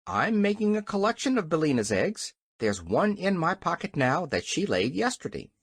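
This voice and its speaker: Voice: mid-range voice, very animated, normal speed, breathy edge, no echo, clean studio sound, voice pushed up. A narrator voicing a kindly, mild-mannered scarecrow in a storybook. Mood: helpful. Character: good-natured, mild male scarecrow.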